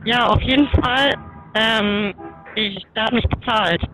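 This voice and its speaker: A teenage boy making a prank phone call in a small living room, talking deadpan with many hesitations, recorded by a camera across the room. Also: high voice, unhurried, faint music behind. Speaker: prank caller. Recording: noisy home video.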